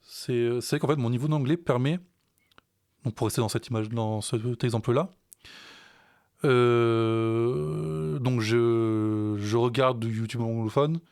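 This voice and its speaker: A man's voice: medium pitch, fairly even intonation, a little slow, vocal fry, a little mellow, clear, resonant tone, dry recording, clean studio sound. A French man, a music teacher and songwriter, chatting in a casual podcast and hesitating with many fillers and restarts.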